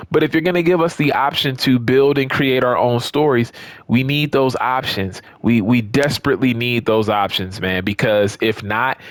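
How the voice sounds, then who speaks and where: A man's voice: mid-range voice, no echo. A man giving casual, off-the-cuff commentary on a video game, emphatic and repeating words for stress. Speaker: gaming video creator.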